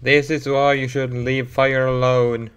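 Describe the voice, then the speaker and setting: A man's voice: medium pitch, little pitch movement, a little slow, a bit bright, clear, resonant tone. A man giving casual let's play commentary as he plays a video game. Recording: game music behind voice.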